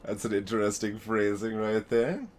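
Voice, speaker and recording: A man's voice: lowish voice, level tone, normal speed, background noise. A man giving casual gaming commentary, musing aloud and deliberating as he goes. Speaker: let's play streamer.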